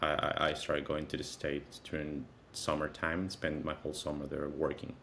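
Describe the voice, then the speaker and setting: Male, 30s, Venezuelan accent, native Spanish speaker, deep register, halting conversational interview delivery with repeated phrases. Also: monotone, gravelly, a bit bright, a little hiss or hum. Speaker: interview guest, content creator.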